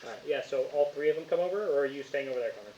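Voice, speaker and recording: A man acting as game master, narrating casually and bantering with the players in a teasing, excitable way. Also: mid-range voice, racing, lo-fi sound, slight background noise.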